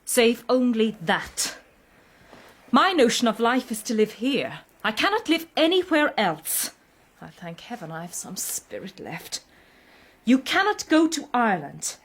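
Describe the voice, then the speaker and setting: A haughty, middle-aged society mother in a period radio play. Her voice sits high and swoops dramatically, with a husky edge. She is imperious, snobbish and easily aggrieved. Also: very animated, unhurried, fairly bright tone, full character voice. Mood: wounded, stubborn.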